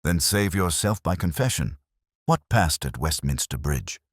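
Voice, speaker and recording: A man acts out a master detective from a mystery audiobook, very deep-voiced and coolly analytical. He speaks in formal, declamatory phrases with lively swings of pitch. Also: measured pace, slightly rough, no room sound, studio quality, heavily acted. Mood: stern.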